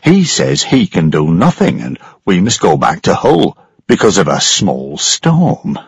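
A man playing a bossy ship's captain in a storybook reading, brisk and dismissive, with a slightly raised voice, a husky edge and a lively swing in pitch, recorded dry and close. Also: dry recording, muffled recording, exaggerated voice. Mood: angry. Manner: pushy, dismissive. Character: blustering male ship captain.

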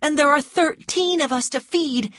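A woman's voice: high voice, moderate pace, a bit bright, dry recording, clean studio sound, pitched above the performer's own voice. A woman voicing an anxious, coquettish young Southern woman who pleads sweetly in an audiobook story.

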